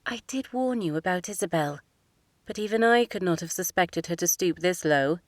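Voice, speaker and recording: A woman voicing a proud young princess who speaks in a crisp, formal way. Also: on the low side, expressive, normal speed, no room sound, clean studio sound, lightly acted. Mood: reserved.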